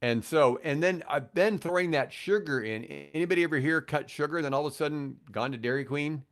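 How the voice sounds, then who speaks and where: A man's voice: a little high, brisk. An older American man chatting casually on a podcast as a guest, telling anecdotes in a rambling, conversational way. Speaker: podcast guest, retired radio host.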